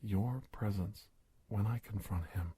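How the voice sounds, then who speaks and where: A man playing a polite, nervous middle-aged investigator in an ASMR roleplay, soft-spoken and halting, often slipping into a whisper. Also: no room sound. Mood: measured, careful. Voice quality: husky, breathy, gravelly low voice. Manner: halting, hesitant, courteous, deliberate phrasing.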